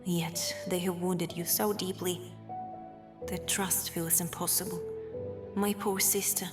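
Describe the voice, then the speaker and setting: A woman's voice: fairly low pitch, flat delivery, slow pace. A woman as sorrowful drow sister over music. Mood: pitying. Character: tender, sorrowful drow sister, gently manipulative. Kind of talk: dramatic fantasy audio acting.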